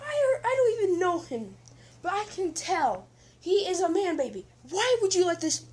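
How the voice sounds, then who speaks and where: Girl doing an angry young hero guy yelling and stumbling, hissy recording. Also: high-pitched, big pitch swings, measured pace, voice pushed up. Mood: outraged. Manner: loud exasperated rants with stumbling repeats. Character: hot-headed young male hero, blunt and threatening.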